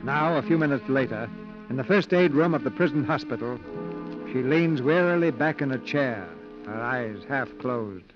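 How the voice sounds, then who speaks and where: An actor serving as the solemn narrator of a 1940s radio melodrama. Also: male voice, medium pitch, animated, moderate pace, close to an everyday voice. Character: solemn male storyteller of a melodrama. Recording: muffled 1940s radio broadcast recording. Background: loud dramatic music.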